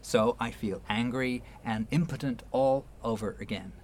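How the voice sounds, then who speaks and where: An actor in a radio play voicing a guarded, wounded New York man with a measured, wry delivery. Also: medium pitch, a little hiss or hum, close to an everyday voice. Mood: angry, pained.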